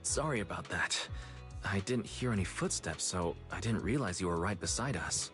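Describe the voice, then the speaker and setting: An easygoing young brother in a video game. He speaks in a lowish, courteous, mild way, with soft music underneath. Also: lively intonation, normal speed. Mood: flustered, apologetic.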